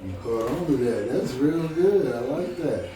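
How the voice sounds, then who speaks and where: A man reading a storybook aloud in an encouraging, conversational manner, pausing to check that the listener follows. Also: medium pitch, lively intonation. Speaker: storybook reader. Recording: very echoey, distant mic, music behind.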